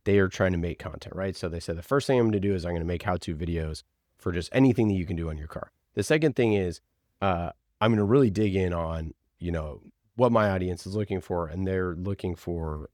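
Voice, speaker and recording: A man with a low voice explains ideas as an interview guest. He speaks informally and haltingly, working through examples aloud. The sound is dry, with very little room in it. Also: a little mellow, dry recording. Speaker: interview guest, podcast producer. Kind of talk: conversational explaining.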